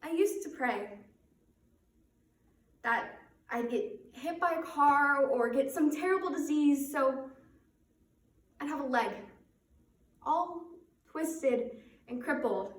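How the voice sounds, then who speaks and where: A woman's voice: high voice, animated, slow, long pauses. A woman performing a dramatic stage monologue as a resentful, hurt teenage daughter, with aching, emphatic phrasing. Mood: aching, longing. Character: resentful, wounded teenage daughter confronting her mother. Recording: roomy echo, distant microphone.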